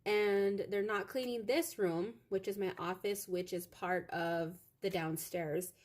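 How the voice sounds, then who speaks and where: A young woman with a naturally loud voice talks casually to her viewers from a home office. She meanders between thoughts with plenty of fillers. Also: mid-range voice, fairly even intonation, takes it slowly. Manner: chatty, meandering, lots of fillers. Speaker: craft vlogger. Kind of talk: rambling casual chat to viewers.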